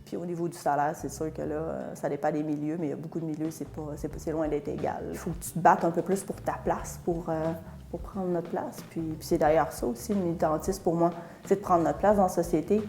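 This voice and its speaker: A woman activist gives plain-spoken testimony in a fairly low voice. Her tone is earnest and direct, and her phrasing is everyday and unpolished. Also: fairly even intonation, a little fast, warm tone. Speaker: feminist activist. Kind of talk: personal testimony.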